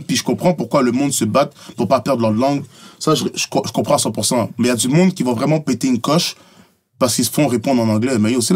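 A rapid-fire man with a Montreal French accent and a husky edge to his voice. He talks bluntly and with emphasis, peppering his points with street slang as a rapper guest in a studio podcast. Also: mid-range voice. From Montreal, Quebec. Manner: blunt, emphatic, says bro and tu comprends. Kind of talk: casual podcast conversation.

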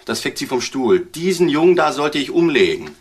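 An actor playing an edgy, street-smart burglar in a radio crime drama, talking in a blunt, wary, colloquial way. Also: male voice, on the high side, sing-song, moderate pace, husky. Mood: edgy, confiding. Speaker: petty criminal.

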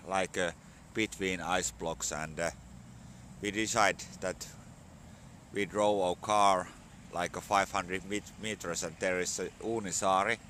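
A man in his forties with a Finnish accent, a bit hoarse from a cold, talking in halting English with many fillers into a phone held at arm's length outdoors. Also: low-pitched, fairly bright tone, slight background noise. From Finland. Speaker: vlogger. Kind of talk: casual outdoor vlog talk. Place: outdoors on a sunny spring morning.

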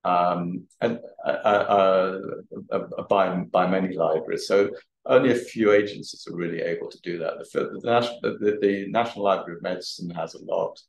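Halting, low-voiced man lecturing. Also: gravelly. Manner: halting, repeats words. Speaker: guest lecturer. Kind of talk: academic lecture question and answer. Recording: roomy, echoey sound.

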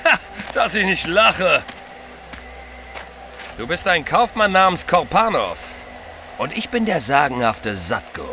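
An actor playing a cruel, gloating Tatar bandit leader in an audio drama, sneering and theatrical. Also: male voice, on the high side, big pitch swings, unhurried, pauses often, sharp tone, a little hiss or hum, heavily acted. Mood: mocking.

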